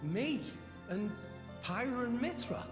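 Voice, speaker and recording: A man's voice: very high-pitched, animated, takes it slowly, music in the background, full character voice. An actor playing an earnest, plucky teenage boy salvager in a scripted video game drama, plain-spoken in manner. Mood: startled.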